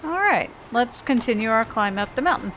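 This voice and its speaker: A woman's voice: fairly high pitch, expressive, normal speed, fairly bright tone, slight background noise, rough recording. A woman giving casual commentary as she plays a video game, talking to herself and swearing offhandedly. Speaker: video game player recording a playthrough.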